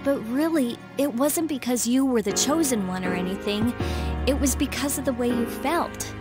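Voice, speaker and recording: Woman as a higher-voiced, remorseful teenage schoolgirl. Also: normal speed, over music, close to an everyday voice. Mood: rueful. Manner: hesitant, confessional. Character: emotional, candid teenage schoolgirl, proud but remorseful. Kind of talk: video game voice acting.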